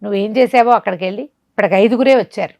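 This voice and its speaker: కథకురాలు పలికే ఆజ్ఞాపించే దుష్ట మాంత్రికుడు. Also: female voice, medium pitch, creaky, a little mellow, dry recording, lo-fi sound, close to an everyday voice. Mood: commanding, urgent. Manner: rapid questions and insistent repeated orders. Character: evil male sorcerer, commanding, suspicious, scheming human sacrifices.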